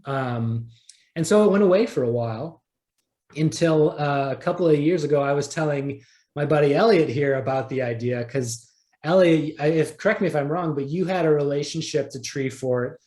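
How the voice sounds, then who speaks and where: A man's voice: a little high, moderate pace, darkish tone. A man being interviewed as a writer in a casual podcast chat, thinking aloud and rephrasing as he goes. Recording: roomy, echoey sound.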